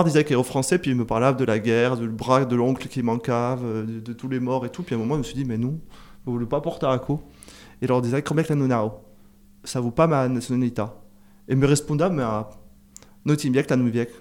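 A man in a casual radio discussion, talking in a hesitant, halting way with many fillers. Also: medium pitch, darkish tone. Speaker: radio show guest.

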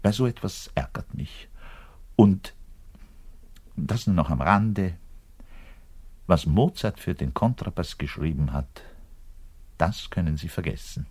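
An actor playing a frustrated, lovesick orchestral double bass player in a radio monologue, bitter and self-pitying, ranting and muttering by turns. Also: male voice, unhurried, long pauses, a little mellow, husky, full character voice. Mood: opinionated, grudging. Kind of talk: theatrical radio play monologue addressed to the listener.

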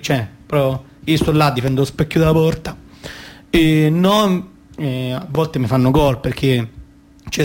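An actor playing a boastful, aggrieved goalkeeper in a theatre monologue, with a Roman accent and a rambling, halting, self-justifying manner. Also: male voice, on the high side, lightly acted. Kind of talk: colloquial comic theatre monologue.

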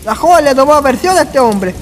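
Casting: man playing wry Chilean village drinker and tale-teller, high voice, colloquial radio-play delivery. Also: expressive, quick pace, slight background noise. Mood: storytelling. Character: wry drunk village storyteller, teasing his friends. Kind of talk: radio drama acting, colloquial storytelling.

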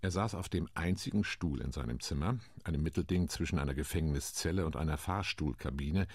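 An actor playing a UN commission delegate in a radio play, giving a measured, wry and indignant account. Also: male voice, rumbling low, breathy edge, no echo, studio quality, heavily acted. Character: male UN delegate, dignified, quietly indignant.

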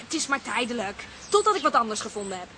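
A woman's voice: fairly high pitch, sing-song, fast. A woman playing a breezy, independent young daughter in a radio comedy. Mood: defensive. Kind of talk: radio comedy drama acting. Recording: loud outdoor street noise.

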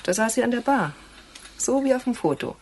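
A woman's voice: fairly high pitch, expressive, a little fast, a little hiss or hum, close to an everyday voice. An actress playing a perceptive, teasing woman who is an intimate confidante, in a radio crime drama, with knowing phrasing. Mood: probing.

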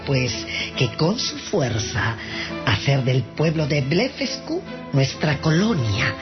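An actor playing a jealous, scheming court official in a storybook radio drama, formal, indignant and accusing. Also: male voice, on the high side. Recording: dull sound with music behind.

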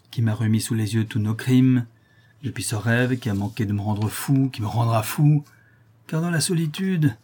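A man voicing a husky, dark blind old murderer. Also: medium pitch, lightly acted. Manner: intense, brooding monologue with hushed menace. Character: blind brutal old male murderer, haunted and vengeful. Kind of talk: audiobook reading of a classic novel.

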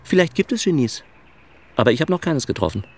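A man's voice: a little high, big pitch swings, quick pace, a little mellow, slightly rough, exaggerated voice. An actor playing an earnest, flustered male arts administrator in a radio play, awkward and hesitant, rambling in a disillusioned way. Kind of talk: German radio play acting.

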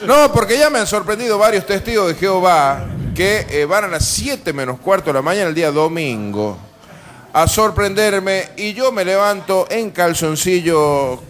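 A man's voice: high-pitched, expressive, normal speed, fairly bright tone. An Argentine man hosting a radio show with humorous, casual banter, telling anecdotes in a wry, colloquial, sweary way. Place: live radio broadcast from a bar.

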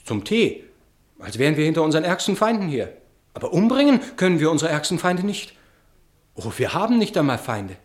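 A man's voice: on the high side, very animated, brisk. An actor playing an earnest, dissatisfied romantic man, declamatory and theatrical in a 1960s German radio play. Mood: yearning, indignant.

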